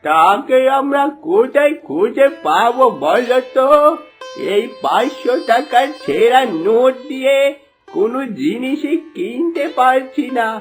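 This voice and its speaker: A man's voice: extremely high pitch, lively intonation, measured pace. Man acting a mournful blind beggar, music behind. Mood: despairing. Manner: mournful, lamenting. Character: poor blind beggar husband, sorrowful and gentle. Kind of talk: cartoon moral tale acting.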